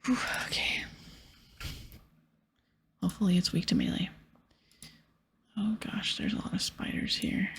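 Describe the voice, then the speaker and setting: A woman giving casual, hushed ASMR commentary over a video game in a breathy whisper. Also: mid-range voice, wildly swooping pitch, unhurried, pauses often. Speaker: host of a gaming ASMR series.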